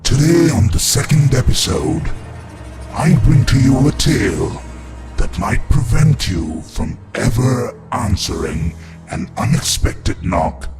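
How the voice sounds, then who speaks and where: A man's voice: mid-range voice, very animated, slow pace. A man playing a menacing evil overlord who hosts a horror podcast, speaking in a pompous, theatrical way over music. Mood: grandiose menace. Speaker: podcast host. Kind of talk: scripted horror podcast introduction. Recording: loud music under the voice.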